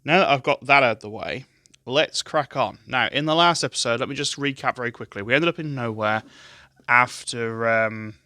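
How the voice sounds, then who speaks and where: A man with a very deep, bassy voice, hosting casual let's-play commentary on a gaming headset mic, chatty and self-deprecating. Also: moderate pace, pushed and bright, no room sound. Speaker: gaming channel host. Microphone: gaming headset with adjustable boom mic. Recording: game music playing under voice. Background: game music and sounds.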